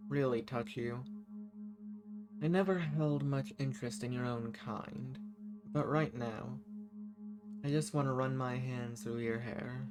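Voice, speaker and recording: A man acts out a seductive banished villain, an ancient and lonely schemer from a roleplay audio drama. He talks intimately to one listener, flirtatious and teasing with a theatrical turn. His voice sits at a middling pitch over clearly audible music. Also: measured pace, pauses often, natural voice. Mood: wistful longing.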